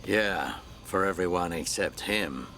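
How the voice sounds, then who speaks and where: A man's voice: low-pitched, lively intonation, a little slow, bright, forceful tone, a little hiss or hum, heavily acted. A man playing a confident, inquisitive mentor in a video game, speaking familiarly and asking probing questions. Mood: assured, curious. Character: confident, inquisitive male mentor back from travels. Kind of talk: video game cutscene acting.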